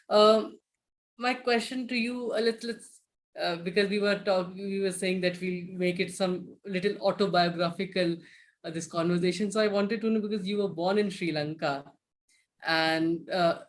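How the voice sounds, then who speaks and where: A man hosting a live-streamed interview, speaking politely and hesitantly, often restarting his sentences. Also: high voice. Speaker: interviewer host.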